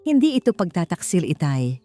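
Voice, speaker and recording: A woman voicing a calm, resolute grown child who defies a powerful father in a story. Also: on the low side, a little fast. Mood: firm, defiant. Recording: music bed behind the voice.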